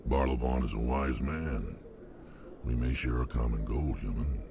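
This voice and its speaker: An actor playing a menacing, blunt alien mercenary in a video game, with a gravelly voice and terse delivery. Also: male voice, rumbling low. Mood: guarded, grim. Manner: terse, threatening, deliberate. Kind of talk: video game character acting. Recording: noisy game ambience.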